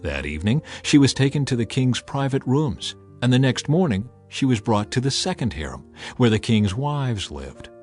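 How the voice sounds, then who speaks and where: A man reading scripture as a dramatic, expressive scripted narration. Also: mid-range voice, normal speed. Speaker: Bible narrator. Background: music.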